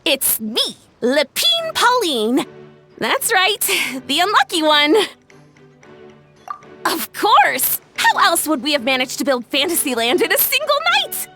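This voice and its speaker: A woman playing an excitable, dreamy and earnest young amusement park showwoman in a video game, effusive and theatrical. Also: high-pitched, slow pace, over music, heavily acted. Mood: eager, dreamy.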